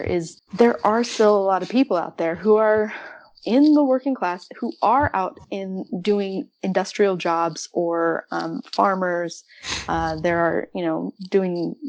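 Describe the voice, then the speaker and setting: Podcast guest: American woman, slightly low voice, dry close sound, reflective and conversational about books. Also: measured pace, darkish tone, dry recording, rough recording. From rural Arizona, United States. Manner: chatty, reflective, laughs readily. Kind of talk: casual literary discussion.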